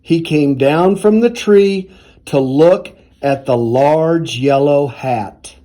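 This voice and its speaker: A man reading a children's storybook aloud in a deliberate, expressive storytelling manner. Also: fairly high pitch. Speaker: university athletics director reading aloud.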